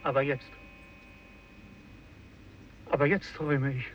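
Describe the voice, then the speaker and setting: A man's voice: medium pitch, fairly even intonation, slow pace, natural voice. An actor playing a frightened fisherman lookout, urgent and insistent, in a radio drama. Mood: bewildered, awed. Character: terrified fisherman lookout raising the alarm. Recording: dull, noisy radio recording.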